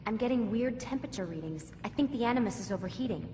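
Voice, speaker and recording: Distant, quick-talking female lab technician woman acting. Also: a little high, lively intonation, mellow, natural voice. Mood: concerned. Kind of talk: game cutscene acting. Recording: distant, echoey, noisy lab ambience.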